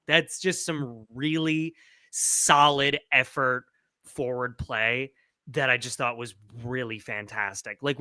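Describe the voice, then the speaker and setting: A man co-hosting a podcast, giving casual soccer analysis in an animated, emphatic way. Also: medium pitch, dry recording, clean studio sound. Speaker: podcast co-host.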